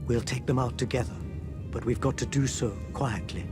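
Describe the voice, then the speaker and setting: A man's voice: mid-range voice, steady tone, normal speed, music in the background, lightly acted. A man playing a courteous, well-spoken Indian maharaja in Victorian London, in a dramatic video game cutscene. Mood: hushed, resolute.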